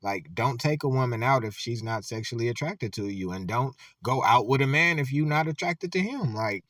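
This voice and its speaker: A man's voice: mid-range voice, animated, moderate pace, fairly bright tone, no room sound, clean studio sound, far from the mic. A man giving loose, conversational reaction commentary, full of filler words.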